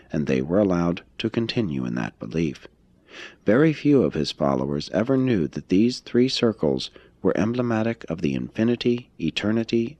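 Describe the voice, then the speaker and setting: A man reading a religious text aloud as scripted narration, in a formal, measured, expository manner. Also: extremely low pitch, darkish tone, slightly rough, no room sound. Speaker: audiobook reader.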